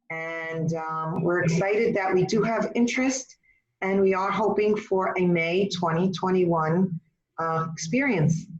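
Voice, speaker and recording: A middle-aged woman professor speaking at an info session, hesitant with many fillers. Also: on the low side. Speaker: professor and program founder. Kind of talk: casual talk at an info session. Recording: dull echoey room audio.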